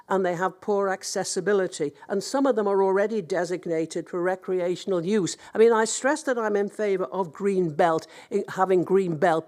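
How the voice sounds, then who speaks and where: A woman's voice: lowish voice, a little mellow. A woman councillor with a blocked-up nose from a cold, making an earnest, hesitant statement at a public hearing. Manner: earnest, hesitant, with many ums and restarts.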